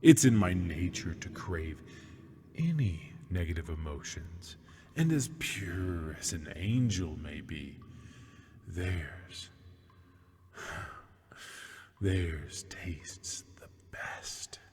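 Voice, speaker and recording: A man playing a seductive, taunting demon prisoner in an ASMR roleplay, husky and breathy, teasing in mock-sweet tones and often sinking toward a whisper. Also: sits low, animated, mellow, heavily acted. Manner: slow, teasing, mock-sweet, lingering on words. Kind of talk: ASMR roleplay, scripted monologue. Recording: long hall-like reverb.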